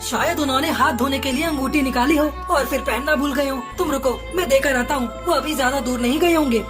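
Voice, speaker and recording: A kind, protective crow husband for a children's cartoon , voiced by a woman. The voice is high and earnest, with a caring manner. Music plays underneath. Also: a little fast, natural voice. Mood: urgent. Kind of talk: Hindi children's cartoon acting. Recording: music behind the voice.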